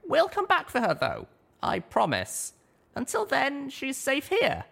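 A man voicing an earnest, stubborn young female unicorn in an audiobook, high and insistent. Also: wildly swooping pitch, unhurried, gravelly, pushed and bright, exaggerated voice. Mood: firm. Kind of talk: audiobook reading.

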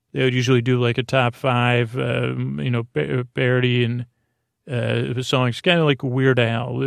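A man hosting a bedtime podcast in a rambling monologue, halting and hesitant, often trailing off, with a gravelly voice. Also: medium pitch, no room sound, clean studio sound. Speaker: podcast host.

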